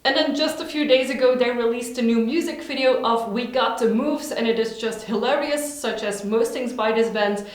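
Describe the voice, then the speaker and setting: A woman's voice: fairly high pitch, normal speed, few pauses. Chatty Dutch woman in a very echoey room. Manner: upbeat, chatty, enthusiastic. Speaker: vlogger. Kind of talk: casual recommendations vlog. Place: home room.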